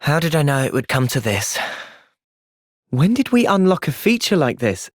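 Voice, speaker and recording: A man's voice: on the high side, sing-song, moderate pace, dry recording, studio quality. A young man with a British accent playing an earnest, thoughtful, polite and determined young hero in video game cutscenes.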